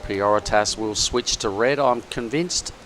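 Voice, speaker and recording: A man giving chatty, excitable live commentary on a surf contest. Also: on the low side, a little slow, a little hiss or hum, low-quality recording.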